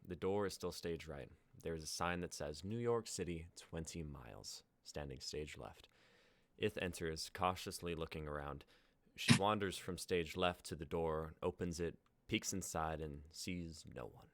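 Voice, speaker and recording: A man's voice: extremely low pitch, measured pace, no echo. A young man reading stage directions as scripted narration. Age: young adult.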